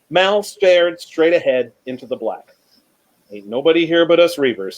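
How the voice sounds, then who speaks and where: A man speaking as a panelist, chatting casually and reading book excerpts aloud in a measured, storytelling way. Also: mid-range voice, lo-fi sound. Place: remote online panel from home.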